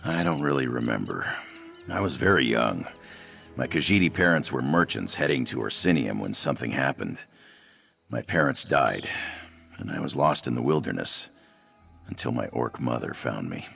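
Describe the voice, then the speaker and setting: A man's voice: a bass voice, animated, normal speed, vocal fry, a bit bright, soft background music, exaggerated voice. A man voicing an anxious, self-doubting young cat-man trader in a fantasy video game, fretful and hesitant. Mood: troubled, recounting.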